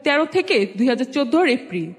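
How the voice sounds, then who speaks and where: A woman's voice: high-pitched, sing-song, fast talker. A young woman with a Bangladeshi accent tells a personal story in an informal, conversational way, often quoting exchanges. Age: young adult. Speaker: guest telling their life story. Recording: reverberant hall-like echo.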